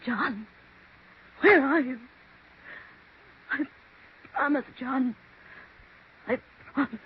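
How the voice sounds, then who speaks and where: An actress playing a frightened, easily overwhelmed woman facing a ghost, halting and tremulous, in 1940s radio melodrama style. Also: high voice. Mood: anxious, awed. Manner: halting, gasping, tremulous exclamations. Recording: dull, hissy 1940s radio recording.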